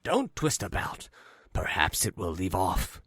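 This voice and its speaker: A man reading an audiobook, voicing a scornful young man who speaks in hushed, urgent tones. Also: low voice, huge pitch swings, measured pace, dry recording, clean studio sound, pitched below the performer's own voice, heavily acted. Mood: tense. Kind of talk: audiobook character voice.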